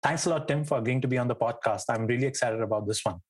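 A man's voice: mid-range voice, very fast, breathy edge. A man hosting a podcast interview, friendly, with many fillers and restarts. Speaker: podcast host.

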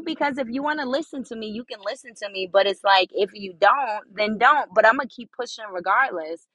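A young woman with a high voice hosting her own podcast, talking casually and repeating phrases for emphasis as she encourages listeners. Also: bright, forceful tone, dry recording, poor audio. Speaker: podcast host. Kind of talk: casual motivational monologue.

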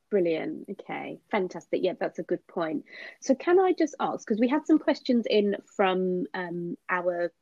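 A woman's voice: fairly low pitch, a little mellow, dry recording. A woman hosting an interview, encouraging her guest with frequent affirmations, heard over a muffled lo-fi line. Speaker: host. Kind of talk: interview host.

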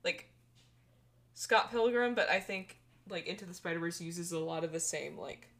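A young woman co-hosts a casual movie podcast, chatty and quick to giggle, with "like" in nearly every sentence. Her voice is bright and forward, with a little tension in it. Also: fairly low pitch. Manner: giggly, chatty, says "like" a lot. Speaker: podcast co-host. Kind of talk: casual chat.

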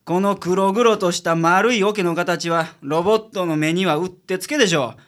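ラジオドラマで、女性が関西弁のぶっきらぼうで頭の切れる男性探偵を芝居がかった調子で演じている。. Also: female voice, fairly low pitch, normal speed, natural voice. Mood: knowing. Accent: Kansai. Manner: brusque, theatrical deductions. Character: gruff, shrewd adult male private detective. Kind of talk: community radio drama acting.

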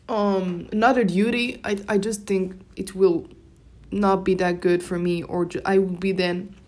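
A woman's voice: medium pitch, darkish tone. Young woman rambling casually on a podcast. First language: Moroccan Arabic (Darija). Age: young adult. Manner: rambling, self-interrupting, informal. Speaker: podcast host. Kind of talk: casual solo podcast chat.

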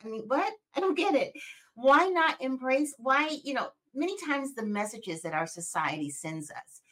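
A woman's voice: sits high, moderate pace. Chatty woman in her sixties. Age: 60s. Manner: conversational, lots of "you know", animated. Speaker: podcast host. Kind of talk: casual interview chat.

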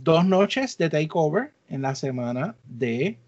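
Higher-pitched man, muffled audio. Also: very animated, slow, a little mellow, no room sound. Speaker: wrestling podcast co-host. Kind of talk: casual podcast chat. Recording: dull lo-fi audio.

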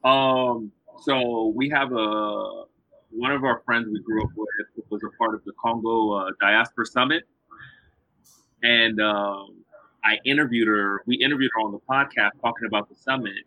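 A man hosting a podcast on a live video call, talking in a halting way full of ums and restarts. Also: lowish voice, fairly even intonation, dry recording. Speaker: podcast host. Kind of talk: casual live-stream interview. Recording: dull video call audio.

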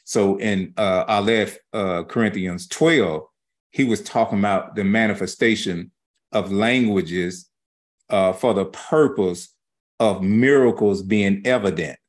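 A man giving an informal scripture teaching as a religious teacher, speaking in halting, folksy phrases with colloquial grammar. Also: lowish voice.

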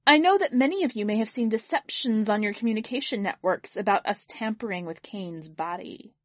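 A woman voicing a towering, owl-like alien envoy who speaks in a calm, formal, measured way. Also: medium pitch, dry recording, natural voice. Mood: earnest.

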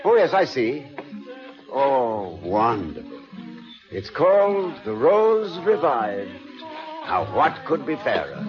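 Courtly college president, very lively pitch, playfully pompous and whimsical, dull old radio sound w/ music. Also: male voice, slow pace. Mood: playful, delighted. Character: witty, courtly American college president in love. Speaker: actor in a radio drama. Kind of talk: 1950s radio drama acting.

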